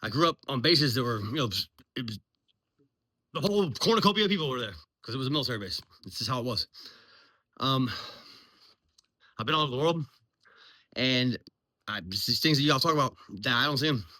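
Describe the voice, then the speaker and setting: A man's voice: medium pitch, expressive, brisk, long pauses, pushed and bright. A man of almost fifty, talking off the cuff in a blunt, profane, rambling way full of ums. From Virginia, US. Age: 40s. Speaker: military veteran vlogger. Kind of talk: unscripted monologue.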